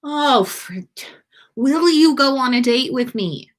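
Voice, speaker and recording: A woman's voice: high voice, animated, takes it slowly, voice pushed up. A woman reading a storybook part as an earnest, nervous man in love, hesitant and pleading. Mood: exasperated. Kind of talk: storybook read-aloud of fan fiction.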